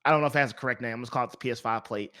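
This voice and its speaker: A man's voice: mid-range voice, fairly even intonation, rapid-fire, a bit bright. A man hosting a podcast in casual, opinionated chat, speaking emphatically and repeating words for emphasis. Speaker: podcast host.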